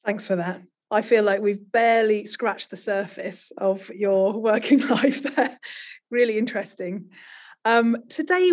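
Husky-voiced woman hosting a podcast. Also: mid-range voice, darkish tone. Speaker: podcast host. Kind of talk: scripted podcast introduction.

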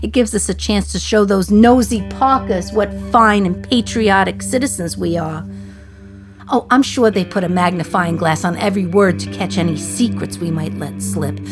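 An actress plays a condemned mother speaking from prison in a radio drama. Her medium-pitched female voice confides with dry gallows humour, wistful yet defiant. Music runs behind her monologue. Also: moderate pace, lightly acted. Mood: wry, sardonic.

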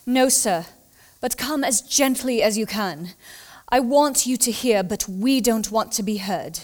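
A woman's voice: on the high side, animated, a little slow. A woman playing an anxious, loyal Victorian housekeeper in an audio drama, speaking formally and urgently, with deference. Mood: anxious, hushed urgency. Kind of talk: dramatic audio play acting.

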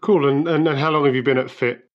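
A man's voice: medium pitch, fairly even intonation, fast. A man hosting an interview, asking questions hesitantly and often repeating words as he starts. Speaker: interview host. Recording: dull sound.

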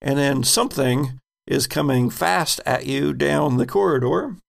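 An American man acting as game master, narrating a horror tabletop game in a suspenseful, deliberate way. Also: mid-range voice, vocal fry.

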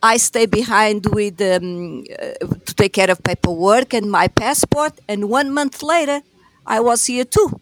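A woman from Portugal with a Portuguese accent, a lively storyteller telling her story as a podcast guest. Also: mid-range voice, a little slow, slight background noise, poor audio. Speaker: guest, store operator. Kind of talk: podcast interview.

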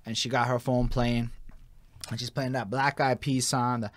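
A man's voice: mid-range voice, little pitch movement, moderate pace, no echo. Laid-back young man hosting a podcast. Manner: laid-back, slangy, storytelling with lots of "like". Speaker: podcast host. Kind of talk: casual interview chat.

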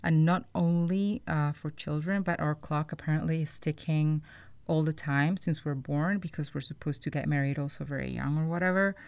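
A woman's voice: deep voice, steady tone, moderate pace, a little mellow, no room sound. A woman hosting a podcast, explaining things to listeners in an informal, conversational way. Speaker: podcast host.

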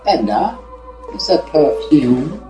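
Animated man as a stuffy older husband. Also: a little high, slow pace, echoey room, music in the background, rough recording. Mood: intrigued. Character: stuffy, oblivious older husband and film producer. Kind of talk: improvised old-time radio drama parody.

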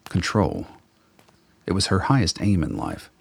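Very deep gravelly male narrator. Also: wildly swooping pitch, a little slow. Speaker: writer and narrator. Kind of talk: scripted radio play narration.

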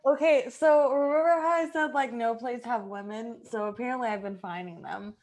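A woman podcast host chatting casually and excitably with her group, jokey and loose with swearing. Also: on the high side, brisk.

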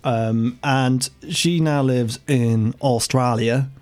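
A man's voice: medium pitch, fairly even intonation, slow, darkish tone. A man hosting a podcast, chatting loosely with his co-hosts, swearing freely and laughing a lot, a bit tipsy. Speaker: podcast host. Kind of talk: casual banter with co-hosts.

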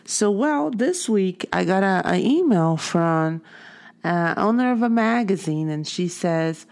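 A woman's voice: mid-range voice, lively intonation, measured pace, darkish tone. A woman with a Portuguese accent, whose first language is Portuguese, telling a personal story in an earnest, heartfelt motivational talk as an artist and art teacher.

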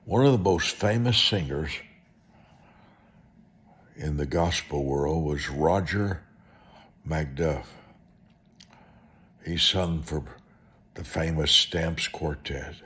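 A man preaching through personal storytelling, speaking in short, deliberate, emphatic phrases and repeating them for effect. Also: extremely low pitch, fairly bright tone. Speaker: preacher and author telling testimony. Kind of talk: storytelling sermon monologue.

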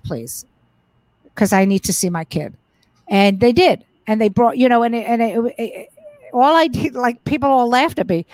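A woman's voice: mid-range voice, dry recording, low-quality recording. A middle-aged woman comedian telling stories from her life in a casual interview, frank and profane, often restarting her sentences. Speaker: comedian guest.

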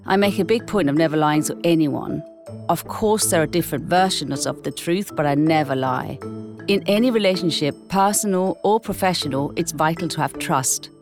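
Danish-accented woman, low voice, scripted narration over clear music, precise. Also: moderate pace. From Denmark. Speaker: language segment presenter. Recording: music bed behind voice.

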